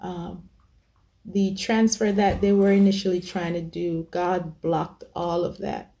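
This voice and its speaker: A woman's voice: lowish voice, level tone, slow, mellow. A woman in her forties telling her personal testimony in a hesitant way as host. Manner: hesitant, many fillers and restarts. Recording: music bed behind.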